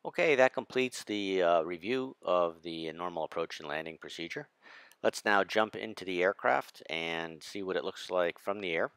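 Low-voiced guy reading a flight lesson, dry close mic, steady and precise. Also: smooth, no room sound. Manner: measured, precise instructional delivery. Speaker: flight instructor. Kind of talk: scripted narration over slides.

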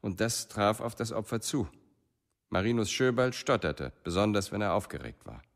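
A man's voice: fairly low pitch, lively intonation, moderate pace, creaky. An actor playing a sober male official in a documentary radio play, speaking formally and analytically. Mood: detached.

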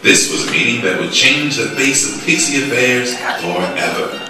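A man's voice: mid-range voice, animated, moderate pace, music in the background. A man giving theatrical storybook narration as the narrator of a stage play. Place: small theatre stage, music behind. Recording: echoey stage recording from far off.

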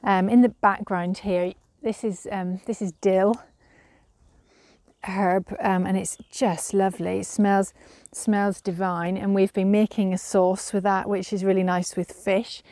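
Woman, 30s, home gardener, casual tour; mellow, low-key voice, little pitch movement, hesitant, recorded outdoors. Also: level tone, unhurried, no echo. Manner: chatty, hesitant, with frequent fillers. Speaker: gardener showing her garden.

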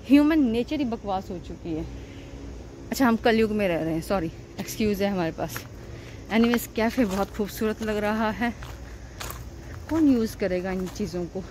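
Chatty young Indian woman vlogging outdoors. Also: a little high. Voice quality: chatty, mixes Hindi and English. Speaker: vlogger, startup founder. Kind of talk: casual vlog talk to camera. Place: outdoor garden cafe. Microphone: phone camera held by hand. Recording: outdoor phone audio with background noise.

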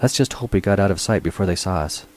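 A man voicing a sharp-tongued woman bounty hunter with a blunt, mocking manner. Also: low voice, expressive, fast. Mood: brisk.

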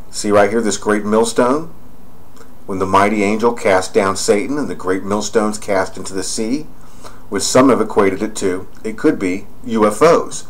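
A man narrating over screen images, rambling and pointing out details as he goes. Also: mid-range voice. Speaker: video narrator.

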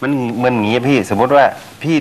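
An actor playing a sharp-tongued, sarcastic youngest brother in a sitcom. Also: male voice, mid-range voice, fairly even intonation, fast, a little hiss or hum, natural voice, poor audio. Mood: teasing. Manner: teasing, sarcastic banter. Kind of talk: sitcom acting.

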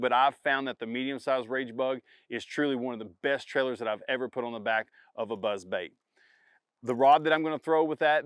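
A middle-aged man, a professional bass angler, explains his fishing setups to camera outdoors in the woods in a confident, emphatic way, stressing and repeating his key points. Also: fairly bright tone, clean tone, dry recording, clean studio sound. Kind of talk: instructional product demo to camera. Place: outdoors in a spring woodland.

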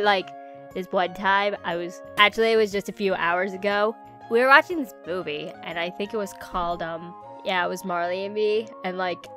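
A woman's voice: medium pitch, pushed and bright. A woman voicing a whiny, dim, emotional teenage boy who rambles over-dramatically in an amateur cartoon dub.

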